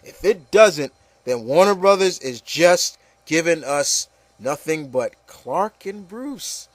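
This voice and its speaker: A man's voice: fairly high pitch, expressive, takes it slowly, no room sound. A man hosting a casual, opinionated discussion, speaking emphatically and repeating phrases to drive points home. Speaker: podcast host.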